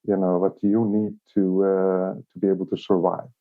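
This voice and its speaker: A man from Iceland with an Icelandic accent, answering casually as an interview guest in a halting way, repeating words as he searches for phrasing. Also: low-pitched, little pitch movement. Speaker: interview guest, company operations director. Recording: thin phone-like call audio.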